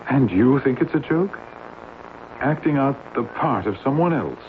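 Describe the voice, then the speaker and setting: A man's voice: mid-range voice, lively intonation, unhurried, natural voice. An actor playing a concerned, questioning male friend in a radio drama. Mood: appalled. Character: worried, probing male friend of a married woman. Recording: narrowband old radio, faint noise.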